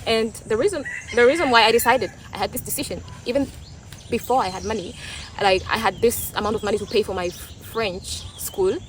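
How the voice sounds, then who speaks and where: A woman's voice: a little high, brisk, a little hiss or hum. A young woman from Tanzania with a Tanzanian accent, a Swahili speaker talking in English, giving her opinions in a thoughtful, casual vlog, often repeating and restarting words. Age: young adult. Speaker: vlogger.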